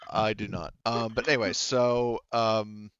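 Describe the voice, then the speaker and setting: A man with a clear, smooth voice works as game master for a tabletop roleplaying session. He narrates in a halting, chatty way and slips into asides. The audio is very dry and a little dull. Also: fairly low pitch, fairly even intonation, a bit bright, no echo.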